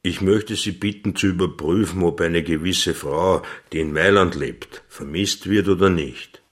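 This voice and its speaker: An actor playing a wary, grumbling old innkeeper in a radio drama, unhurried and dry in delivery. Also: male voice, low-pitched, no echo. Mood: guarded, stubborn. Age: older. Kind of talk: radio drama, first-person narration and dialogue.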